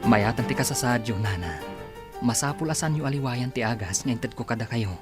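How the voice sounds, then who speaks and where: A man's voice: mid-range voice, brisk, over music, close to an everyday voice. A man playing a kind, composed doctor in an Ilocano radio drama, speaking reassuringly. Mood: gentle, reassuring. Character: kind, composed male village hospital doctor. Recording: background noise, ambient sound.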